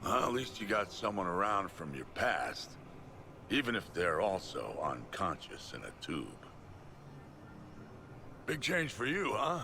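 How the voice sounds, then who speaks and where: An actor playing a gruff male mercenary who talks in a dry, sardonic way. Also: deep voice, very animated, a little slow, pauses often, bright, forceful tone, a little hiss or hum, full character voice.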